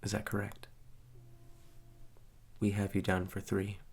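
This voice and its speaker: Casting: male actor as softly ominous, courteous hotel receptionist, ASMR roleplay; very slow delivery, gravelly fry. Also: completely flat delivery. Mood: reassuring, courteous. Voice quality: soft-spoken, low, gravelly. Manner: slow, measured, hushed, politely eerie.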